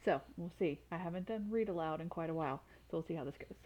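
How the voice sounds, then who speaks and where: A woman's voice: fairly low pitch, quick pace, dull audio. A woman reading a children's storybook aloud as a storytime reader.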